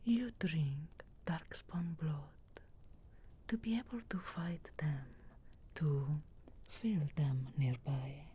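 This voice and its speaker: A woman playing a sharp-tongued, sarcastic, reluctantly caring witch in a soft whispered ASMR fantasy roleplay, with hushed musing hums and deliberate pauses. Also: deep voice, breathy edge, exaggerated voice. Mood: knowing, cool.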